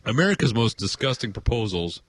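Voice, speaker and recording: A man's voice: medium pitch, very animated, a little fast, a bit bright, no room sound. A man co-hosting a comedy podcast, bantering casually and reading odd news with crude, sarcastic asides and free swearing. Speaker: podcast co-host.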